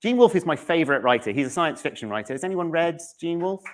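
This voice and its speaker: A man's voice: on the high side, expressive, fast talker, noisy background. A man speaking to an audience at a conference, conversational, with wry, casual asides. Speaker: conference speaker, game studio narrative director. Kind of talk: public conference talk, conversational. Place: conference room in front of an audience.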